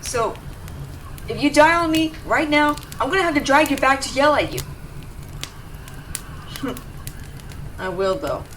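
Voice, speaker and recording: A young woman voice actor playing a gruff but devoted tsundere rescuer in an intimate, emotional audio roleplay. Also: sits high, lively intonation, unhurried, pauses often. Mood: exasperated, loving. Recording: distant mic, clear background noise ambience.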